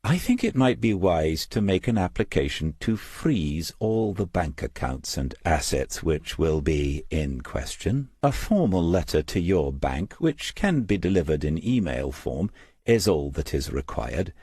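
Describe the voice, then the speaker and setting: A man voicing a formal, careful solicitor in an audiobook, speaking precisely and in measured phrases. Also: low-pitched, very animated, mellow, slightly rough, no room sound, studio quality, exaggerated voice. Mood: formal, advisory. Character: formal, careful male solicitor giving legal advice.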